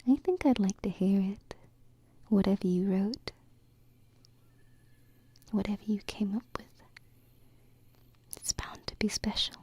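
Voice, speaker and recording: A gentle, wistful alien princess, played by a woman, whispers very slowly in an ASMR roleplay. The voice is breathy, soft and mellow, tender and reflective. It sits close to the microphone, with faint background noise. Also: medium pitch, close to an everyday voice. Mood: gently wondering. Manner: slow, tender, reflective, with pauses. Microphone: close binaural microphone.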